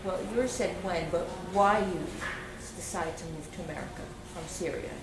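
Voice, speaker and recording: A woman's voice: on the low side, moderate pace, vocal fry. A woman hosting an interview, asking questions with many ums and restarts. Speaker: interview host. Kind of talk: public-access interview. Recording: echoey room, far from mic, loud noise.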